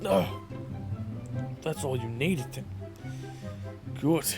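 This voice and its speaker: A man's voice: medium pitch, very slow, over music. A man playing a foul-mouthed, defensive widowed villager in an audio roleplay, given to indignant swearing. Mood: disgusted fury.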